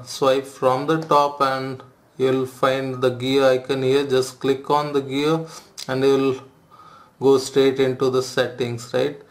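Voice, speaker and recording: A man hosting a phone how-to video, explaining steps with frequent "uh" fillers. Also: mid-range voice. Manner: hesitant, frequent "uh" fillers, flat delivery. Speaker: tech video host. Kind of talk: phone tutorial walkthrough.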